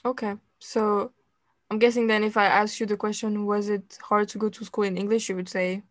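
A woman hosts a relaxed interview podcast, asking her guest questions in an even, level voice. The sound is dry and close, with no room around her. She fills the pauses with quiet "okay" and "mm-hmm.". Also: mid-range voice, no room sound. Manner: attentive, asks questions, frequent "mm-hmm" and "okay". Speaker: podcast host. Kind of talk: casual interview.

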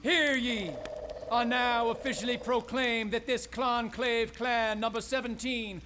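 A man portrays a pompous ceremonial herald of a secret cult in a radio drama. His pitch is extremely high for a man, and he proclaims every order formally. There is faint noise in the background. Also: fairly even intonation, unhurried, pushed and bright, exaggerated voice. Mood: pompous proclamation.

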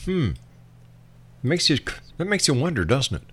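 A man's voice: fairly high pitch, very animated, normal speed, a bit bright, slightly rough. A man hosting an interview in a conversational style, musing aloud and putting questions to a guest. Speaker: interview host.

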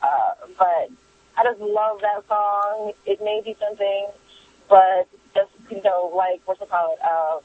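Female caller, laryngitis-hoarse, casual and meandering, heard over a thin telephone line. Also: mid-range voice, measured pace, no echo. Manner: rambling, hesitant. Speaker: listener calling a podcast voicemail. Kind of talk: casual chat. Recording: thin, phone-like voicemail line.

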